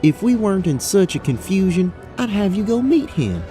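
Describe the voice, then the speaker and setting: A man acts a polite, soothing woman in a fantasy audio drama. The voice is high and mellow, with very animated intonation. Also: moderate pace, soft background music. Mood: excited. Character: mild, polite, conciliatory woman at magic academy. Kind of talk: audio drama character acting.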